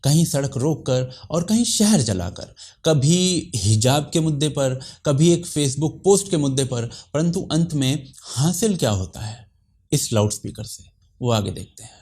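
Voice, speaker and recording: A man's voice: mid-range voice, expressive, measured pace, darkish tone, slightly rough. A man presenting a scripted explainer narration.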